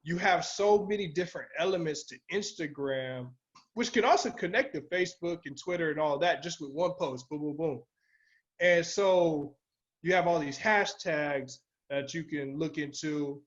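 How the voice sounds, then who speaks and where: A man's voice: a little high, moderate pace, dull audio. A man co-hosting a podcast in casual, slangy, profane talk, putting his opinions bluntly. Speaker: podcast co-host. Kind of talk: casual chat, podcast discussion.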